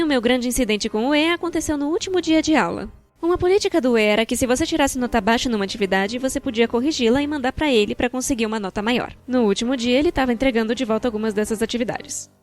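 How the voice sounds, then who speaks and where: A Brazilian woman with a fairly high voice narrates a personal story from a script. Her delivery is expressive and wry, with colloquial phrasing. Music plays behind her voice. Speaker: narrator of a fan-dubbed animated storytime video. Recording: background music.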